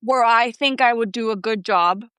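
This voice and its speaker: Chatty self-deprecating woman, higher voice. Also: moderate pace, fairly bright tone, clean tone, dry recording, studio quality. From Colombia, raised in Ecuador. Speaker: guest. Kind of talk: casual chat.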